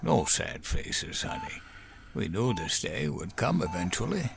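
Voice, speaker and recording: A man playing a gentle, dying old grandfather in an audio drama, halting and tender, with a creaky voice. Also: on the low side, huge pitch swings, exaggerated voice. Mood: tender, resigned.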